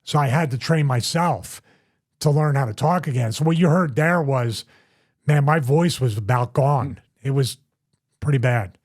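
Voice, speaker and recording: A man's voice: mid-range voice, moderate pace. A middle-aged man hosting a casual podcast, telling long rambling stories with restarts and repeats. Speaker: host, former wrestler.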